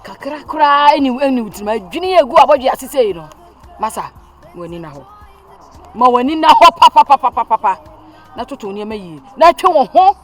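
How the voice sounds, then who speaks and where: A woman's voice: on the high side, lively intonation, fast talker, faint music behind. An actress playing a mother who lectures her child at length, with emphatic repeats. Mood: stern lecturing. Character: stern older mother lecturing, then pleading with her child. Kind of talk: Twi-language family drama acting.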